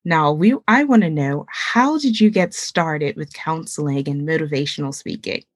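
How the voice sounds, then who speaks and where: A woman's voice: deep voice, normal speed, dry recording, clean studio sound. A woman hosting a podcast, upbeat and welcoming as she reads her guest's bio and chats. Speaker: podcast host. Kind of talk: casual interview chat.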